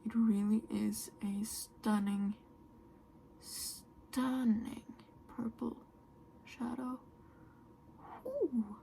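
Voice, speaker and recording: Slow, gravelly-voiced woman. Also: a little high, fairly even intonation, a little hiss or hum, poor audio. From Norway. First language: Norwegian. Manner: soft, often trailing into a whisper. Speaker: beauty vlogger. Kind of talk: makeup tutorial chat.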